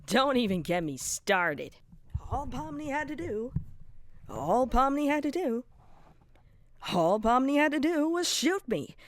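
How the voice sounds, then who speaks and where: A woman voicing a smug, sarcastic male cartoon rabbit, dismissive and mocking, at times hoarse and nasal from being sick. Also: sits high, big pitch swings, unhurried, pitched above the performer's own voice. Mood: bitter. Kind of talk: fan voice acting for an animation storyboard.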